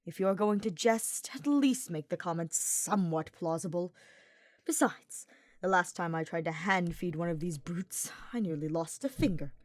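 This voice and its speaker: A woman voicing a grumpy, sardonic male dark mage in an audiobook, speaking in formal, dismissive phrases. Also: lowish voice, normal speed, no echo, lightly acted. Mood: withering. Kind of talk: fan fiction audiobook character voice.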